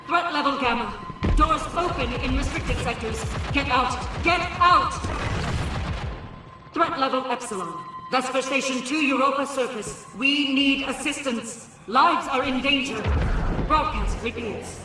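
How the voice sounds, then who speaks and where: Troubled male station AI for a game: high, formal, clipped, synthetic, heard from far off in heavy echo. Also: measured pace. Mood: urgent, alarmed, then flat, official. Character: troubled, duty-bound station artificial intelligence, male. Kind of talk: video game character acting. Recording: very echoey, distant, music underneath.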